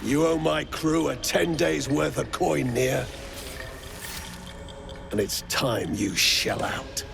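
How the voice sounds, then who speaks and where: A man's voice: fairly high pitch, slow, vocal fry, heavily acted. A man playing a greedy, foul-mouthed dwarf mercenary in a video game, blunt and aggressive. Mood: angry, threatening. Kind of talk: video game character acting. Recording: music behind the voice.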